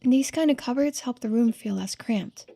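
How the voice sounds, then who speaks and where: Casting: female performer for a thoughtful young game character, soft-spoken and polite, high pitch, gentle dark-toned delivery. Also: normal speed, creaky. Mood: musing. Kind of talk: voiced game dialogue and narration.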